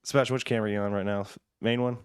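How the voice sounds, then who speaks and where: A man's voice: lowish voice, fairly even intonation, racing, clean tone, no room sound, clean studio sound. A man hosting a casual podcast, chatting informally with plenty of slangy dudes. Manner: informal, slangy, says dude and man often. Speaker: podcast host.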